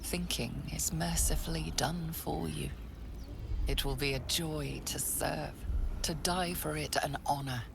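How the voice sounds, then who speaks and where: A woman narrating a video game in the second person, measured, hushed and ominous. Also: sits low, fairly even intonation, gravelly, background noise.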